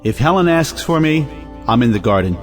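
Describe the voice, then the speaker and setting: An actor playing a solemn, self-righteous head of a household in an audio play, speaking in a pompous, measured way. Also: male voice, medium pitch, expressive, faint music behind, natural voice. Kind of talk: audio play acting of a 1910s stage comedy.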